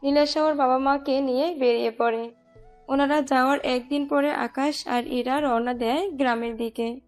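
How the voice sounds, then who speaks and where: High-pitched woman narrating a story. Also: fairly even intonation, moderate pace, soft background music. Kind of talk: scripted romantic story narration.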